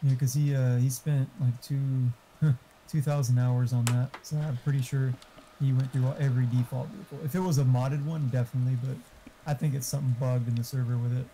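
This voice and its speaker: A man's voice: mid-range voice, level tone, a little fast, mellow, slight background noise, low-quality recording. A man in casual technical chat over voice call, explaining things and swearing freely. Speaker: game modder on voice chat.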